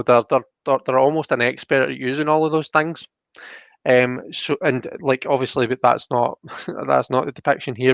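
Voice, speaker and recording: A man's voice: mid-range voice, dry recording, muffled recording. A man hosting a casual tarot reading, explaining the cards in a hesitant, rambling way.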